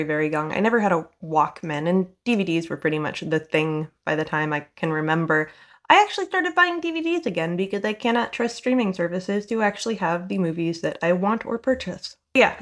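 A woman in her thirties giving an informal science explainer to camera, matter-of-fact with wry, deadpan asides. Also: on the low side.

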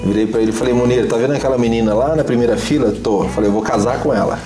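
A man's voice: medium pitch, racing. A Brazilian man in his thirties, a guest on a TV chat show, telling stories in a playful, teasing way. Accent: Brazilian Portuguese. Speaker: interview guest. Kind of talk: joking casual chat. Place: small TV studio set with table. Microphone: lapel. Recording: roomy studio with music.

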